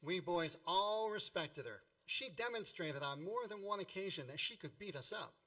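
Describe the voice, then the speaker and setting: Male radio play narrator, an actor, wry and nostalgic, mock-grand delivery, highish bright voice, a little off-mic. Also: lively intonation, moderate pace, far from the mic. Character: grown man wryly recalling his Indiana boyhood.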